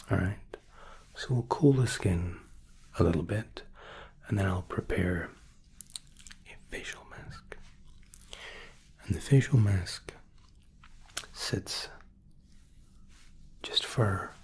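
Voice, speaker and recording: A man softly explaining spa treatments in an ASMR roleplay, whispery and breathy, with a gentle, reassuring, attentive manner. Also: deep voice. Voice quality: whispery, breathy, soft-spoken.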